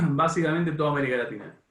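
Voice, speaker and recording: A man with an Argentine accent leading an informal class discussion as a teacher, often halting, repeating and rephrasing as he goes. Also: fairly high pitch, animated, fairly bright tone. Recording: echoey room, distant from microphone.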